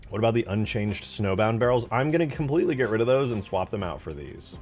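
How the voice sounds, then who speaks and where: A man's voice: lowish voice, animated, quick pace, darkish tone, soft background music. A man giving casual, unscripted commentary as he works on a game mod, thinking aloud in informal, slangy phrasing. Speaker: game modder hosting a development stream.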